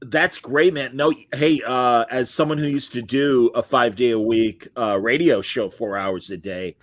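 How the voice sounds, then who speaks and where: A middle-aged man hosts a casual interview show, chatting freely and reading out comments from viewers. His voice sits at an ordinary middle pitch. The sound is close and dry, with no room around it. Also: a little slow, dry recording. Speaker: podcast host.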